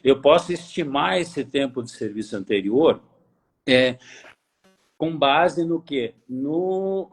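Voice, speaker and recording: A man's voice: medium pitch, husky. A man from Brazil, a pension auditor, explaining calculations in a lecture, often asking himself rhetorical questions and rephrasing as he goes. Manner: rhetorical questions, pauses to reformulate.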